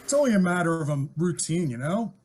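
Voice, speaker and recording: An actor playing a brisk, methodical police inspector in an old-time radio mystery, businesslike and questioning. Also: male voice, fairly high pitch, lively intonation, a little mellow. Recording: very noisy with clicks.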